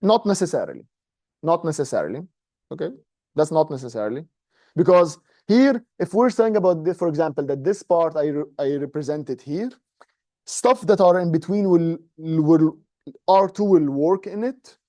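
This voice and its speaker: A male university lecturer with an Egyptian accent explains math informally to a class. His voice is slightly high for a man yet soft-edged and mellow, recorded dry with little room sound. He often pauses to check that listeners follow. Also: expressive, no room sound. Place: medium-sized indoor classroom, standing at a presentation screen.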